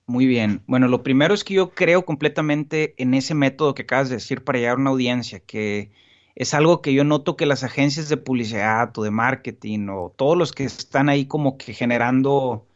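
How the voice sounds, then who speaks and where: A man's voice: mid-range voice, fairly quick, highs cut off. A man in casual podcast conversation as a guest, talking earnestly and rambling with frequent restarts and fillers. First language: Spanish.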